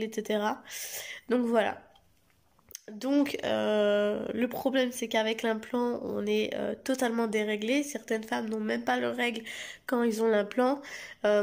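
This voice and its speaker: A young woman talks straight to her camera at home late at night, her voice hoarse and gravelly from a cold. She sits a little high in pitch and rambles, pausing often and restarting her sentences. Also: darkish tone. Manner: rambling, many hesitations and restarts. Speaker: family vlogger. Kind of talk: casual direct-to-camera vlog. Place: home at night under artificial light.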